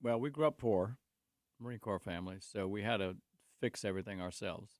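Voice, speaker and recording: A man's voice: fairly low pitch, a little fast, a little mellow, dry recording, clean studio sound. A man, a guest on a talk show, telling rambling stories about his life and faith with many restarts. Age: 60s. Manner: speaks openly about faith. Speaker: guest, construction company owner. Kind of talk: casual talk show conversation.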